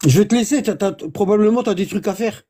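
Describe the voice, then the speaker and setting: A man's voice: high voice, fast talker, warm tone, husky. A man giving informal religious teaching, explaining patiently, repeating himself and checking that he is understood. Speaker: religious instructor.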